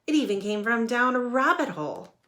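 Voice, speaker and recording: A woman's voice: fairly high pitch, big pitch swings, a little fast, a bit bright. A woman reading a children's picture book aloud as a storyteller.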